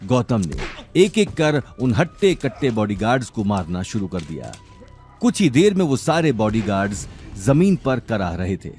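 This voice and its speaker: A man's voice: lowish voice, very animated, moderate pace, a little mellow, faint music behind. A man narrating a scripted audio drama series in a dramatic storytelling style.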